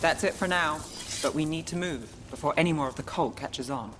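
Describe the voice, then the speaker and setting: A woman's voice: low-pitched, fairly quick, background noise, close to an everyday voice. Woman playing a cool, dry game detective. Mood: focused. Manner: terse, dry, matter-of-fact. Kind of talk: video game acting.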